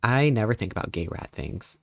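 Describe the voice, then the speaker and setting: A man in his thirties, a live streamer chatting casually and greeting viewers, rambling playfully with sudden squeaky jumps in pitch. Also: animated, a little fast, vocal fry, no room sound. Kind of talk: casual live-stream chat reading chat messages.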